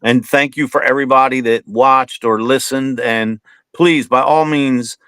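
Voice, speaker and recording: A man's voice: mid-range voice, steady tone, unhurried, fairly bright tone, smooth, dry recording. Easygoing fifty-something man telling stories. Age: 50s. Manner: loose, storytelling, says "man" often. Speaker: guest. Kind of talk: casual interview chat.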